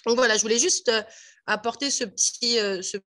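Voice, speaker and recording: Higher-voiced woman with a Parisian accent. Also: brisk. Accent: Parisian French. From France (Paris). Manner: lively, chatty, with frequent fillers. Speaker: radio panel guest. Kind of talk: casual radio discussion.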